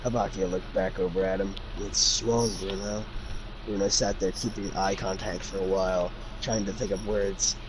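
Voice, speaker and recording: A man's voice: deep voice, level tone, normal speed. A man reading a story aloud and chatting about it in casual slang, sometimes stumbling over the words, on an amateur recording. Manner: informal, youthful slang, stumbling over the text. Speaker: co-host reading fan fiction aloud. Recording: noisy amateur home recording.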